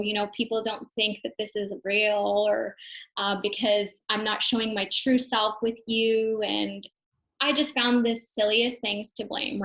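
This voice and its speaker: A woman's voice: medium pitch, creaky, fairly bright tone, poor audio. A young woman, a mother and online business owner, telling her story in a casual, reflective way. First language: English. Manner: casual, reflective, many fillers and restarts. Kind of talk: personal testimonial talk.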